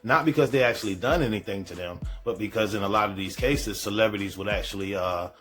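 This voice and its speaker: A man's voice: lowish voice, fast talker, fairly bright tone, soft background music. A man hosting a reaction show, giving casual, slangy commentary and repeating phrases for emphasis.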